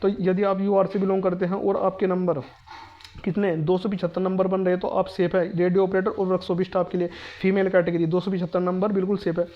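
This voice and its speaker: A man's voice: high-pitched, flat delivery, fast talker, lo-fi sound. A man explaining exam information to students informally, in a repetitive, insistent, emphatic manner. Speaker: exam prep presenter.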